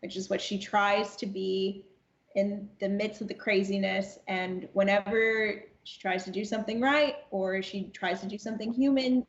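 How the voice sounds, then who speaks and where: A woman with a medium-pitched voice talks reflectively as an interview guest. She is deliberate and emphatic, restating phrases for weight. The sound is roomy and slightly muffled. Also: steady tone. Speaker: audio drama cast member, podcast guest.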